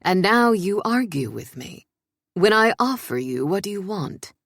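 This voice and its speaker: An actor voicing a commanding, controlled older royal man in audiobook dialogue, measured and authoritative. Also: sits high, big pitch swings, creaky, fairly bright tone, dry recording, studio quality, exaggerated voice. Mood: baffled, restrained.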